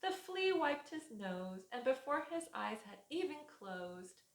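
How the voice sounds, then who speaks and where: Woman, preschool teacher, storybook read-aloud, slow rhythmic delivery, expressive, fairly high voice, roomy echo. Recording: echoey room.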